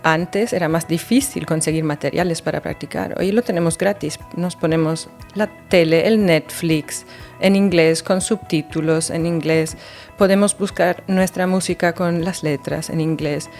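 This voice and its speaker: A woman with a somewhat low voice explains things with lively encouragement, piling up everyday examples. Faint music sits quietly behind her. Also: normal speed. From Germany. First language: German. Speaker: language teacher. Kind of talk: educational radio chat.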